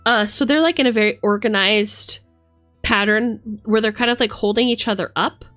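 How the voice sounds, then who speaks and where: A woman's voice: fairly high pitch, moderate pace, a bit bright, faint music behind. A woman livestreamer chatting casually and affectionately, thinking aloud in a playful way as she reads tarot cards.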